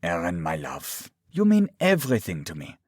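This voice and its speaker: A menacing doctor from an audiobook thriller, acted out by a man. He coaxes with smug calm and false tenderness, his pitch moving freely. The sound is dry and close. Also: normal speed, no echo, exaggerated voice. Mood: mock tender. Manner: coaxing, mock-tender, threatening. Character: menacing, smugly calm male doctor villain.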